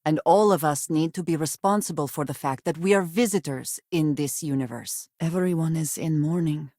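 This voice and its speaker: Casting: an earnest, guarded female space-game companion; precise, formal delivery, measured phrasing, dry studio-close sound. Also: deep voice, warm tone, no room sound. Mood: measured, reflective. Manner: formal, measured, precise diction with few contractions. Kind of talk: video game character voice lines.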